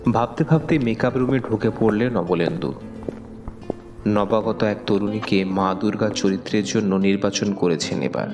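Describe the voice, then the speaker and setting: Low-voiced man narrating a dramatic story. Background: music with plucked guitar.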